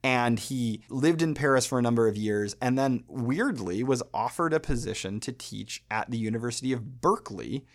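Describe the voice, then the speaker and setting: An American man hosting a podcast, talking through a subject in a thoughtful, measured way with pauses. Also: medium pitch, lively intonation. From United States. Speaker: podcast host. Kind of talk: explanatory podcast talk.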